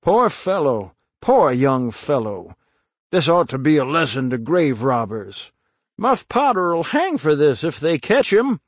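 Guy doing townsfolk chattering at a grave, high and loud-ish. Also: big pitch swings, measured pace, husky, no room sound, clean studio sound, full character voice. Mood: pitying.

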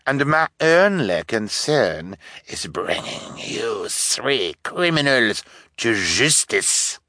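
A man voicing a sneering, menacing villain who poses as a detective in an audiobook, with a drawn-out, gloating delivery. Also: mid-range voice, sing-song, sharp tone, dry recording, clean studio sound, pitched above the performer's own voice, exaggerated voice. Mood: smug menace. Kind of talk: audiobook character voice.

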